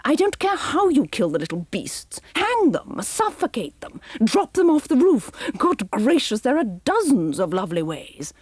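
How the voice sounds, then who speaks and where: A shrill, imperious villainess barks commands with gleeful cruelty, as a woman narrator puts her on. The voice leaps high and loud, swinging wildly in pitch, with a slightly husky edge in a dry room. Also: sing-song, normal speed, few pauses, no room sound, full character voice.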